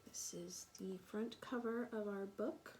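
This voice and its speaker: A woman reading a picture book aloud for children, slowly and deliberately, with sing-song emphasis. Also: medium pitch, fairly even intonation, darkish tone, a little hiss or hum. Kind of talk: read-aloud children's storytelling.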